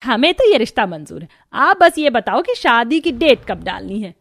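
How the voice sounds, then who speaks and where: A woman's voice: fairly high pitch, very animated, normal speed, creaky, fairly bright tone, heavily acted. A woman voicing a bitter, greedy older mother-in-law in a cartoon, sarcastic and nagging. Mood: pushy.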